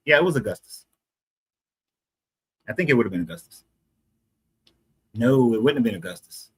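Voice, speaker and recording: A man from Atlanta with a voice pitched right in the middle. He argues point by point, explaining at length and correcting himself along the way. It is a casual street conversation. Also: lively intonation, normal speed, slightly rough. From Atlanta, USA. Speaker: street debater.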